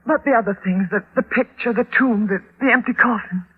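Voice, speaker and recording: An actress playing a frightened wife in a 1950s radio horror drama, dramatic, breathless and halting. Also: female voice, a little high, breathy edge. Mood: shaken, uneasy. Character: terrified wife who fears her husband is a vampire. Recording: muffled old radio recording.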